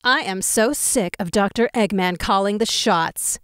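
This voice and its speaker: A woman's voice: mid-range voice, a little slow, fairly bright tone, smooth, dry recording, studio quality, close to an everyday voice. A woman voicing a flirty, sly female bat spy who speaks in a sultry, teasing way.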